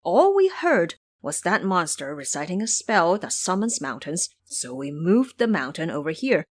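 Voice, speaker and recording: A woman's voice: on the low side, very animated, moderate pace. A woman voicing a timid, humble minor earth god with a flustered, pleading manner in an audio drama. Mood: bewildered, fearful.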